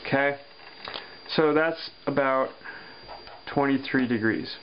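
A man teaching physics, working a problem step by step on paper and thinking aloud with pauses and fillers. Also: medium pitch. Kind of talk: worked-example lesson over paper. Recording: dull lo-fi sound with faint hum.

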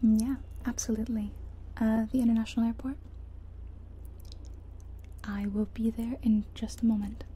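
Soft whispery lady doing asmr taxi driver roleplay, super warm dark tone, bit of background noise. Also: fairly high pitch, little pitch movement, normal speed, long pauses. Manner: soft, whispery, gentle delivery. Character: friendly, chatty female taxi driver. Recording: faint background noise of game car sounds. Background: car sounds from a driving game.

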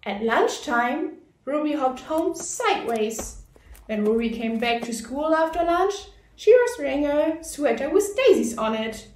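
High-voiced woman reading slowly to children. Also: expressive, a little mellow, roomy sound, a little hiss or hum. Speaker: storybook reader. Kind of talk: scripted children's read-aloud narration.

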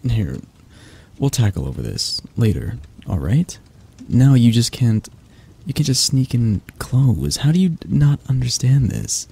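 Man acting a husky, breathy possessive mafia boss. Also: on the low side, warm tone, exaggerated voice. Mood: irritated, controlling. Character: possessive young male mafia boss, doting yet controlling. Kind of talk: ASMR boyfriend roleplay.

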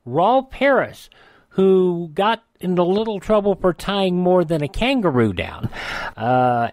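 Lively American male radio host. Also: sits high, no room sound, studio quality. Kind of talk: casual this-day-in-history rundown.

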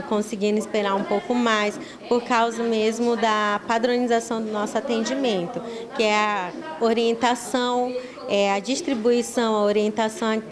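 Middle-aged woman, Brazilian accent, medium pitch, explanatory interview answers, halting phrases, clear background chatter. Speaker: municipal pharmacy staff spokesperson. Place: small busy pharmacy office, indoors. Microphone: handheld reporter's microphone. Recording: busy room chatter behind.

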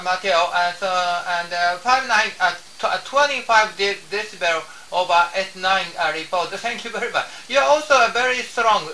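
A man from Japan with a Japanese accent, making a ham radio contact in halting, repetitive English and spelling out call signs. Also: high voice, sharp tone, far from the mic. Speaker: amateur radio operator. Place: small home radio room. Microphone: desk radio microphone, camera picking up the room. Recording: roomy, off-mic, with faint hiss.

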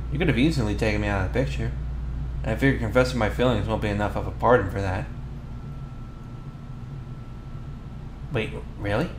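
A flustered teenage bully boy, acted by a man in an ASMR boyfriend roleplay. His voice sits on the low side, and he talks casually and haltingly, defensive yet sheepish. The sound is roomy, with clear background noise. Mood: sheepish, candid.

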